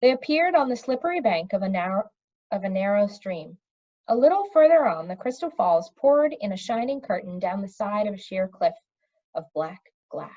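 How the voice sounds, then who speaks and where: A woman reading a fantasy story aloud in an expressive storytelling manner. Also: mid-range voice, normal speed, lo-fi sound. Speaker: audiobook reader. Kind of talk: reading a children's fantasy novel aloud with character voices.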